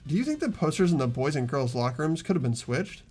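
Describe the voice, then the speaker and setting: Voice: medium pitch, sing-song, fast talker, darkish tone, husky, faint music behind. A performer playing an earnest, inquisitive teenage schoolboy in game dialogue. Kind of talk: fan dub of visual novel game dialogue.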